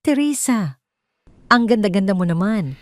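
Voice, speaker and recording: A woman's voice: medium pitch, expressive, normal speed, no room sound, pitched above the performer's own voice, lightly acted. A woman voicing a glamorous, scheming mistress in an audio drama, falsely friendly, with a sugary, casually probing manner.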